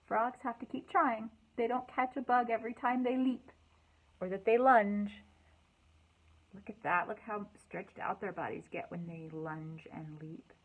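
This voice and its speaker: Slow-paced woman reading to children. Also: medium pitch, rough recording. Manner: animated, encouraging, speaking simply to young children. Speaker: children's librarian and storytime host. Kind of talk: children's storytime read-aloud with asides.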